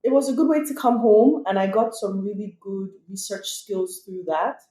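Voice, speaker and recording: A middle-aged woman talks through her career in a relaxed, conversational way, with light laughs and fillers. Her voice is mellow and warm, and the room gives it a clear echo. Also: mid-range voice, moderate pace. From Nigeria. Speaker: emergency physician, guest. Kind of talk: casual interview answers. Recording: echoey room.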